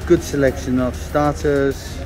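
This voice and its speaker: A man hosting a casual travel vlog, talking in a relaxed way with frequent hesitations, interviewing a restaurant owner and showing the menu. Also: mid-range voice, measured pace, music in the background, low-quality recording. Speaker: vlogger and interviewer.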